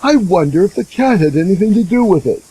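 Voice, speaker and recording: A man's voice: high-pitched, lively intonation, fast, dark tone, slightly rough, voice pushed up, low-quality recording. A man voicing a kind, polite cottage sister in a fairy tale, plain-spoken. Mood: curious.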